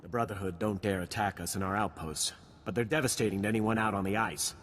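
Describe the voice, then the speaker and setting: A man's voice: lowish voice, steady tone, fast, fairly bright tone, natural voice. A man playing a serious, beleaguered outpost soldier in a science fiction video game. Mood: worried, urgent. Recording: reverberant hall, noisy game ambience.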